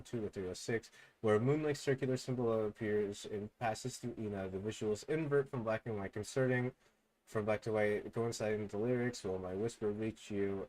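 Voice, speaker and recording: A young man streaming, reading fan theories aloud in a low mumble and breaking into loud excited outbursts. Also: low-quality recording.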